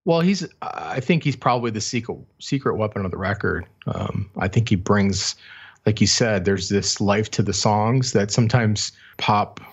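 A man co-hosting a casual music podcast, talking thoughtfully and haltingly, correcting himself, on a slightly dull recording. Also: fairly low pitch, husky. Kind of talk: casual album discussion.

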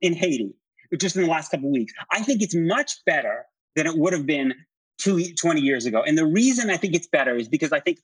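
A middle-aged man with a fairly high, husky voice argues politics as an interview guest. He is insistent and halting, doubling back on phrases to press his point. The sound is close and dry. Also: animated, dry recording, rough recording. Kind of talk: heated political debate.

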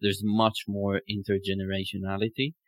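Deep voiced guy hosting a thoughtful podcast, pretty flat delivery, searches for words, dry sound. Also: moderate pace, dry recording. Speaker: podcast host. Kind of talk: reflective conversational interview.

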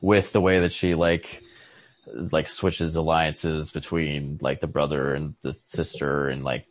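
A man in a casual, analytical literary conversation as a podcast host, often halting to search for words. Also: rumbling low, flat delivery, dry recording. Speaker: podcast host discussing a short story. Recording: lo-fi podcast recording.

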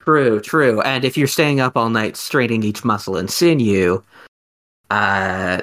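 A man hosting a podcast, talking in a casual mock-scholarly way, halting and rambling as he works ideas out. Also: fairly low pitch, a bit bright. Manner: halting, rambling, thinking aloud. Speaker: podcast host.

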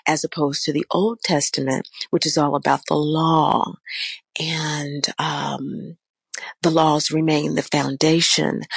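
A woman with a slightly raspy voice giving an unscripted spiritual talk as a course host, meandering with fillers and self-corrections. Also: sits low, no echo. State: slightly raspy voice from a weather change. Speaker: oracle deck teacher and course host.

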